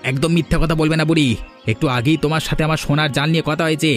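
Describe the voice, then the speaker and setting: Man acting a fast-talking, eager village henchman. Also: a little high, level tone, faint music behind, close to an everyday voice. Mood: pushy. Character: eager, goading male henchman of village boss. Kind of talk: Bengali cartoon story voice acting.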